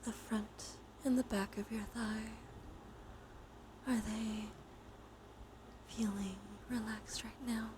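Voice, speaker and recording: A woman leading a guided ASMR relaxation, speaking softly and mostly in whispers, with a soothing, gentle manner. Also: a little high, little pitch movement, slow, long pauses. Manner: soft, mostly whispered delivery. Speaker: live streamer leading relaxation exercises. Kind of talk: ASMR guided breathing and body scan with chat asides. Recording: clear background noise.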